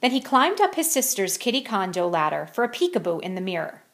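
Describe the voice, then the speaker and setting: A woman narrating a children's storybook as an expressive bedtime read-aloud. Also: mid-range voice, brisk.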